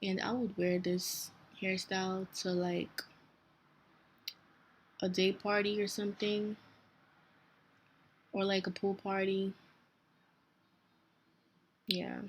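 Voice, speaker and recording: A young woman from Nigeria with a Nigerian accent, talking casually through a step-by-step hair tutorial, relaxed and chatty with small self-corrections. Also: medium pitch, slow, long pauses, a little hiss or hum, poor audio.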